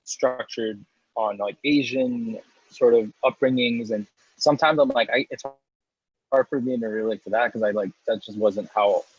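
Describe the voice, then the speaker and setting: Husky, muffled man telling his story. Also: medium pitch, moderate pace, no echo. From United States. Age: 30s. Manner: rambling with many restarts and light laughs. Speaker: guest, coffee roaster and business owner. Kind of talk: casual interview talk.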